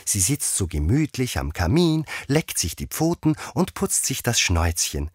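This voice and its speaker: Man playing chatty storybook little girl, earnest and apologetic, pitched up, breathy-husky, swooping intonation. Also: very animated, normal speed, no echo, studio quality. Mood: eager, apologetic. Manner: earnest, gushing, eagerly apologetic. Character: eager, polite, chattering young girl in a fairy tale.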